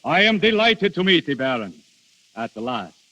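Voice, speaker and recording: A man's voice: on the high side, sing-song, moderate pace, pushed and bright, heavily acted. An actor in a 1930s radio drama playing Death on holiday as a courtly prince, speaking formally and theatrically and savoring his words. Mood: courtly, charming. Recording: dull, hissy 1930s radio recording, faint music.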